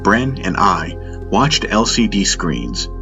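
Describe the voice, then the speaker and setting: A man with a very deep voice narrates a first-person space story. The sound is a little muffled, and music plays loudly behind him throughout. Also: very animated, takes it slowly, creaky. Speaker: audiobook narrator. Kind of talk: scripted first-person sci-fi audio drama narration. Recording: dull muffled sound under loud music. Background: loud music.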